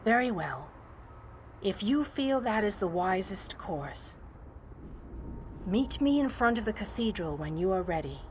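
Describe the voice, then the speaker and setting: A woman voicing a calm, wise, ethereal guardian in a video game, speaking in a formal, measured, serene manner. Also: mid-range voice, a little hiss or hum. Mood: measured, advisory.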